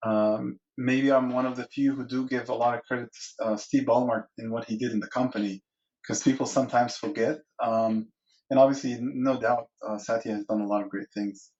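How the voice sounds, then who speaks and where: A man's voice: medium pitch, fairly even intonation, a little fast, a little mellow. A man in his forties from Egypt, a guest in a podcast interview, who talks in long rambling answers full of restarts and fillers. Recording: dull lo-fi sound.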